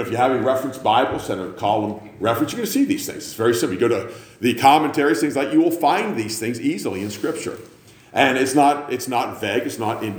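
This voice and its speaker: A man's voice: mid-range voice, darkish tone. A man preaching a sermon to a church congregation in a conversational, self-mocking, teasing manner. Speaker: pastor. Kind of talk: sermon with joking asides. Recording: roomy hall with faint ambience.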